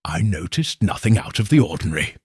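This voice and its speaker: Cool, calculating lady, performed by a male narrator, careful and measured, faintly edged, varied intonation, dry sound. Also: low-pitched, very animated, a little mellow, slightly rough, dry recording, pitched below the performer's own voice, full character voice. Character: cool, calculating upper-class woman.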